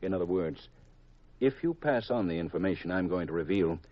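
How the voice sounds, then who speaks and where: An actor with an American accent playing a formal, guarded counterintelligence colonel in 1950s radio drama style, measured and grave. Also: male voice, low-pitched, lively intonation. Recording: dull old radio recording.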